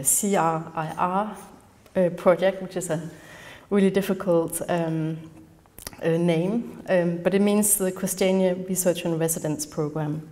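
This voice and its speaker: A woman from Denmark with a Danish accent, giving an academic conference talk in a measured manner, partly reading from a prepared text. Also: lowish voice, a little mellow. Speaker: conference speaker. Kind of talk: academic lecture. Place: large darkened lecture hall.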